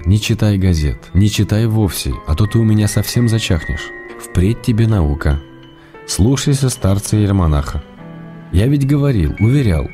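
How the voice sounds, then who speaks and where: A man playing a wry, low-voiced playwright. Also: natural voice. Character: wry, fond male playwright writing to his beloved. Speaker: actor in an audio drama. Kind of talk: dramatic reading of letters and telegrams for radio.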